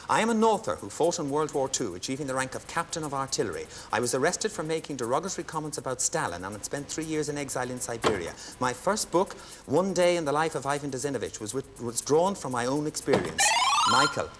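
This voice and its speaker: A man hosting a television quiz show, announcing clues and scores in a crisp, formal way and lifting into cheers for winners. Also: medium pitch, very animated, quick pace, hardly any pauses, fairly bright tone. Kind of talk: brisk game-show hosting. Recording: studio audience and background noise.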